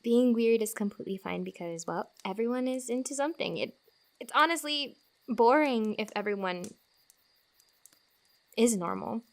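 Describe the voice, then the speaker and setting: A woman playing a friendly, teasing fantasy wanderer who gives comfort in a soft-spoken, giggly, rambling ASMR roleplay. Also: fairly high pitch, expressive, normal speed, long pauses. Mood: encouraging.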